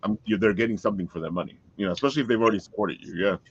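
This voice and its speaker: A man hosting a casual chat in a jokey, expressive way, with a husky voice. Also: fairly low pitch, racing, muffled recording. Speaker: talk show host, writer.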